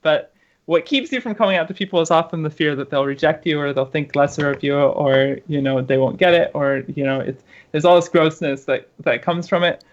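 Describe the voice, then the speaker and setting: Halting woman guest on dull lo-fi audio. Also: low-pitched. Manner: hesitant, halting with many fillers. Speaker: guest gamer and streamer. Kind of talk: casual podcast chat.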